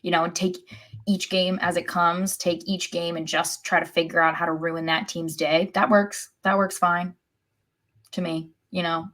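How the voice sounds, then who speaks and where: A woman's voice: on the low side, level tone, measured pace, a bit bright. A woman co-hosting a soccer podcast, chatting casually in a wry way. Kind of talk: casual sports chat.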